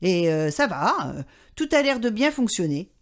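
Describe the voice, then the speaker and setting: A woman's voice: big pitch swings, normal speed. A woman voicing a flustered, exasperated father who is stuck in a cat flap. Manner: high, strained, pleading. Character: flustered middle-aged dad, stuck and exasperated.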